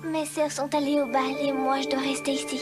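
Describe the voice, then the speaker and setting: A woman voicing a sweet, downtrodden young cartoon heroine with an extremely high voice. She is meek, earnest and obedient, over a clear music bed. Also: level tone, brisk, close to an everyday voice. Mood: sad. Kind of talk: dubbed fairy-tale cartoon acting.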